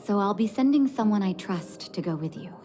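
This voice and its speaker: An actress voicing a calm, caring leader of an underground resistance in a video game, measured and gracious. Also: female voice, mid-range voice, lightly acted. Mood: grave, resolute. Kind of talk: video game cutscene dialogue. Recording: music playing behind the voice.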